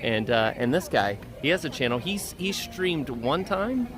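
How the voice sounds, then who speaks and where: A man with a slightly hoarse voice chats casually to viewers and friends as he hosts a live stream. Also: mid-range voice, sing-song, measured pace, music in the background. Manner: chatty, addresses viewers and friends. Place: outdoors at a busy theme park.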